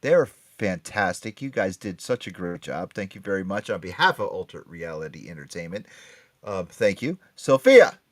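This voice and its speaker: A man hosting a casual panel chat, talking in an excitable, animated way. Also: deep voice, moderate pace. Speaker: podcast host and convention promoter.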